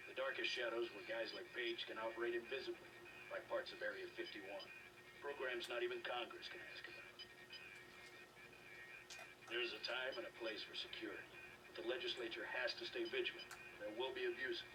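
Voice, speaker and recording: A man playing a seasoned, disillusioned career soldier in a video game, plain-spoken and brisk. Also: mid-range voice, steady tone, recorded from a distance, over music, close to an everyday voice, rough recording. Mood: firm, opinionated.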